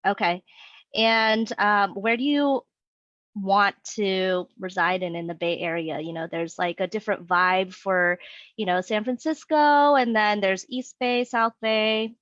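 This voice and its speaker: A woman's voice: mid-range voice, unhurried, a bit bright, no room sound. A young woman interviewing for a sales job, playing a real estate agent in an upbeat, friendly way with many okays. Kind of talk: mock sales interview role play. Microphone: video call. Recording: dull lo-fi sound, dry.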